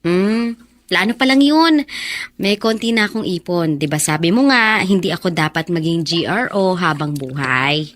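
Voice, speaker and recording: A young woman playing a gentle, hopeful working woman in a radio drama, speaking in an earnest way. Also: fairly low pitch, normal speed, close to an everyday voice. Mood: gently puzzled. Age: young adult.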